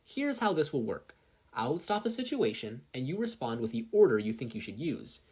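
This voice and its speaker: A confident female pro-hero mentor, played by a story reader. The delivery is brisk and animated, swinging widely in pitch with a husky edge.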